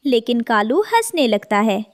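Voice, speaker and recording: A woman's voice: a little high, big pitch swings, measured pace, no echo, studio quality. A woman narrating a scripted children's story.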